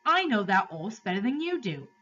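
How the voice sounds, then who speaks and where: A woman voicing a rough but kindly male London cabby with a Cockney accent and an earnest, plain-spoken manner. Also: medium pitch, big pitch swings, moderate pace, pushed and bright, recorded from a distance, dull audio, full character voice. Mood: urgent.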